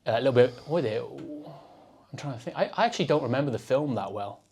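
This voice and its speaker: A young Welsh man hosting a podcast, chatting casually with jokes and quick laughs. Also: medium pitch, expressive, vocal fry, a little mellow, a little hiss or hum. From Wales. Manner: joking, rambling, quick laughs. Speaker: podcast host. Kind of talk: casual chat.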